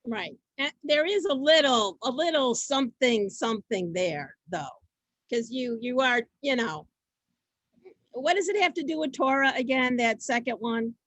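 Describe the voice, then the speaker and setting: A woman hosting an amateur theater event informally, halting and often repeating her words as she goes. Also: high voice, expressive, fairly bright tone, no room sound. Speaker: host introducing performers.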